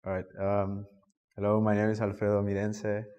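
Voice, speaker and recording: A man's voice: lowish voice, monotone, moderate pace, dark tone, dull audio. A nineteen-year-old man with a Honduran Spanish accent, telling his story casually in an interview. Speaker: interviewee, guitarist. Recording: echoey hall with long reverb.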